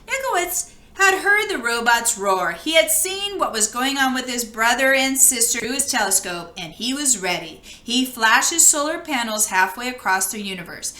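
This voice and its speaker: A woman reading a children's storybook aloud in an expressive narrating style. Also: a little high, moderate pace, hardly any pauses, reverberant. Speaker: storybook reader.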